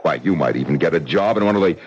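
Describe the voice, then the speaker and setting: Deep-voiced bitter widower, sarcastic; dull hissy radio sound. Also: male voice, expressive, fast. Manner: sharp, sarcastic. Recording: muffled old radio recording, faint hiss.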